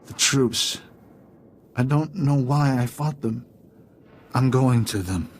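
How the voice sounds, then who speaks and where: A man playing a drugged, confused captive in a video game, halting and stammering in a helpless daze. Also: mid-range voice, slight background noise, natural voice. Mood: lost, docile.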